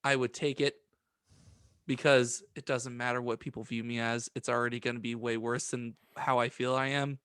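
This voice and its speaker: A man's voice: mid-range voice, little pitch movement, moderate pace, a bit bright, clean tone, dry recording, studio quality. A young adult man in casual podcast chat who rambles and stumbles over his words, putting himself down as he goes. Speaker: podcast co-host.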